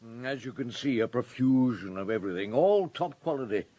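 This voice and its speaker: An actor playing a calm, dry, methodical police superintendent in a radio drama, speaking with measured wryness. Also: male voice, mid-range voice, close to an everyday voice. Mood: probing. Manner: measured, wry, murmuring hums. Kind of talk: British radio drama acting.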